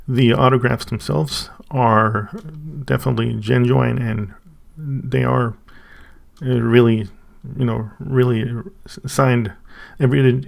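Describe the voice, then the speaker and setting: Swedish guy unboxing stuff, super gravelly voice, lots of stops and restarts. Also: medium pitch. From Sweden. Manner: halting, thinking aloud with many restarts. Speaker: channel host. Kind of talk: casual unboxing review.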